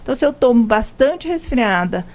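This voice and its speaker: A woman's voice: on the high side, lively intonation, moderate pace, a little mellow. A Brazilian woman, a pharmacist, explaining health topics in a live radio interview, with frequent restarts. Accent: Brazilian Portuguese. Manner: explanatory, many restarts and fillers. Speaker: pharmacist guest.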